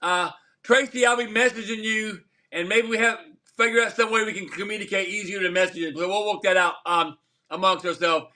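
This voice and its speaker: A man's voice: high voice, fairly even intonation, brisk, pushed and bright. A man hosting a casual livestream chat, rambling and repeating himself as he wraps up. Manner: rambling, repeats words, laughs often. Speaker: livestream host.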